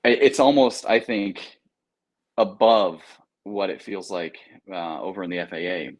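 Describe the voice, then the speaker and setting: A man's voice: deep voice, fairly even intonation, unhurried. An American man talking casually in an interview, explaining things in an informal, anecdotal, slangy way. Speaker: air traffic controller, interview guest.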